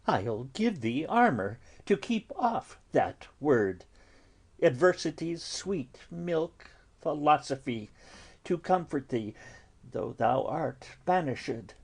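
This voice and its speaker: A man playing a stern, exasperated friar in a Shakespearean tragedy, speaking deliberately with a breathy, dramatic delivery. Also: fairly high pitch, big pitch swings, full character voice. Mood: firm counsel. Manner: slow, deliberate, dramatic, dropping into hushed breaths. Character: stern, exasperated male friar counselling a youth. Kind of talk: classical stage tragedy in audio.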